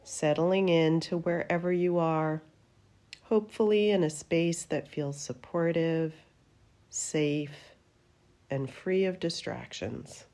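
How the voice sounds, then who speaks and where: Woman leading a guided meditation, really slow and soothing, soft dark mellow voice. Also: deep voice. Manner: soothing, gentle, unhurried phrases with trailing endings. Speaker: yoga nidra meditation teacher.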